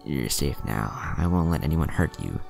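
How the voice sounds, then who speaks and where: A man voicing a brave young boy ninja in his own deep voice, speaking gently and reassuringly. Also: big pitch swings, moderate pace, creaky, faint music behind, full character voice. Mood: gentle.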